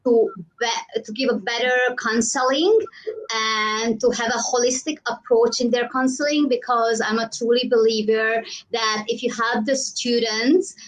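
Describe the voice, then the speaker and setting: A woman's voice: on the high side, steady tone, unhurried, poor audio. A middle-aged woman from Hungary, speaking English with a Hungarian accent as a guest on a video call, earnest and searching for words with frequent "uh" fillers. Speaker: guest, education agent coach. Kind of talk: casual interview over video call.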